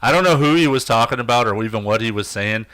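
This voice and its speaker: Quick, lively-voiced man in casual chat. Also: medium pitch, poor audio. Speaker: podcast co-host. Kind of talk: casual chat among friends.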